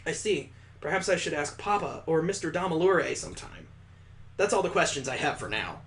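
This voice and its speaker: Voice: medium pitch, animated, brisk, a bit bright. A let's player voicing a curious, polite student in a visual novel. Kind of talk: visual novel character reading.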